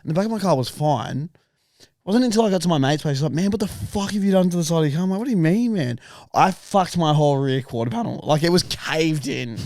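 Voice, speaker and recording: Raspy young man, gravelly voice. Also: fairly high pitch, fast, no room sound, clean studio sound. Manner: swearing, laughing, lively storytelling. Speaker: podcast co-host. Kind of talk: casual chat. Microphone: studio podcast mic.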